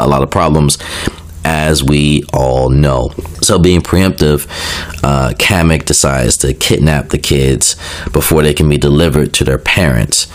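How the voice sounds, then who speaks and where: A young man getting over a cold, sounding a little nasal, casually explaining video game lore with lots of fillers, asides and self-corrections. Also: a bass voice. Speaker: video creator.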